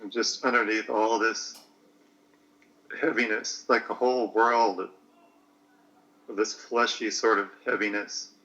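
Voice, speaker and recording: A man nearing fifty, talking in a halting, searching way that often trails off mid-thought. His low voice has a sharp, tense brightness. The sound is muffled, like lo-fi stream audio. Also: flat delivery. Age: 40s. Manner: hesitant, searching for words, trailing off. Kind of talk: halting personal reflection.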